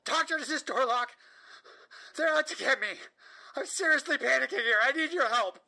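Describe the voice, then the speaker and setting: A woman playing an exhausted, terrified insomniac patient in an audio drama, halting, anxious and pleading. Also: high-pitched, gravelly, bright, forceful tone, exaggerated voice. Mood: panicked.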